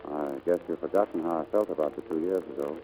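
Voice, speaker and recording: An actor playing a suave, lovelorn suitor in the style of 1940s radio acting. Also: male voice, very deep voice, quick pace, mellow, a little hiss or hum, low-quality recording. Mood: ardent. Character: suave, lovelorn male suitor back from abroad.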